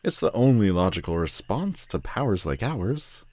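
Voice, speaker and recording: A man's voice: fairly low pitch, big pitch swings, normal speed, darkish tone, no echo. A man playing a telepathic supervillain in a roleplay audio drama, commanding and wry yet protective, speaking intimately straight to the listener. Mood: exasperated, scornful.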